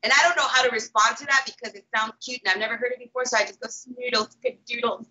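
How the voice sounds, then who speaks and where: A woman in her thirties with a raspy voice, chatting casually and playfully as a guest on a live stream. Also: on the high side, steady tone, fast, sharp tone, lo-fi sound. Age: 30s. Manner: playful, exclamatory. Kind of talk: casual chat.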